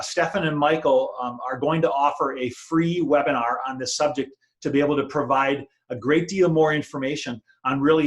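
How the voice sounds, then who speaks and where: A man hosting an interview in an informal, conversational way, with frequent filler phrases. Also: mid-range voice. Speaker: interview host.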